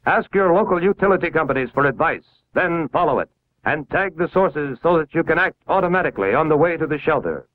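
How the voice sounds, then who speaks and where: Formal 1950s American male film narrator, higher-pitched. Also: expressive, brisk, fairly bright tone, rough recording. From United States. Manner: formal, authoritative, instructional. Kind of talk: 1950s civil defense film narration, scripted. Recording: vintage 1950s film soundtrack.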